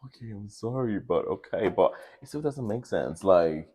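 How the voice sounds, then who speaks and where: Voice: deep voice, expressive, moderate pace, warm tone, breathy edge. The host of a casual interview show, talking freely and laughing often.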